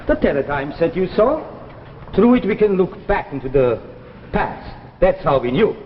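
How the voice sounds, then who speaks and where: An actor playing a grave, courteous older doctor from the future, speaking formally and solemnly in 1950s sci-fi drama style. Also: male voice, high-pitched, very animated, normal speed, slight background noise. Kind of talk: 1950s radio-era sci-fi drama acting. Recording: dull vintage broadcast with loud music behind.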